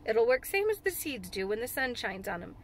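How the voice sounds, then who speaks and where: A man's voice: very high voice, very animated, fairly quick, a little hiss or hum. A woman voicing a cheerful, innocent Yorkshire country boy in a storybook, reassuring in homely dialect. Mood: assured.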